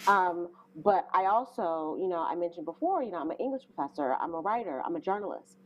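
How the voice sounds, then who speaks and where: A woman's voice: fairly low pitch, animated, fast, muffled recording, slight background noise. A woman co-hosting an interview, speaking earnestly and thoughtfully with a big voice and many fillers. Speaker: co-host. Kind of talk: interview questions.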